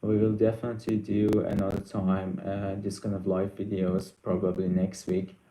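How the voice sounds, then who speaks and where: Soft-spoken man with frequent fillers. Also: low voice, completely flat delivery, echoey room, rough recording. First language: Persian. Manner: halting, many fillers and restarts, reads questions aloud. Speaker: live stream host answering viewer questions. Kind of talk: casual live Q&A.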